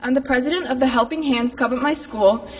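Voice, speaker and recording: A teenage girl from Sweden reads her speech in an earnest, steady way. Her voice sits a little high. She is picked up from some distance in a hall with a long echo. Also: fairly quick. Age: teens. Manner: earnest, steady recited delivery. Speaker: Lucia court candidate. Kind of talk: prepared selection speech. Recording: echoey hall, heard from afar.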